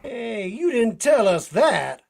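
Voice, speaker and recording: A man acts out a folksy American sidekick from an old radio crime serial. He drawls his slang at an unhurried pace, swinging up and down with eager tough-guy swagger. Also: very high voice, big pitch swings, gravelly, heavily acted. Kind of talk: 1940s radio drama acting.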